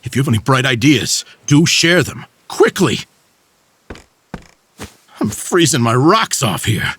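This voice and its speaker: An actor playing an excitable, irreverent male fugitive sidekick in a fantasy video game, with expressive, colloquial delivery. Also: medium pitch, a little slow, slightly rough, full character voice. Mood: sardonic, incredulous. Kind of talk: dramatic video game voice acting.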